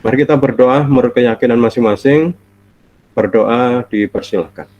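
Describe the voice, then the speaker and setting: A man's voice: mid-range voice, a little mellow, no echo. A middle-aged man gives a friendly, chatty online university lecture from home, his voice nasal and stuffy from a cold. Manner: friendly, chatty, laughs often, drawn-out fillers. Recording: dull lo-fi online call audio.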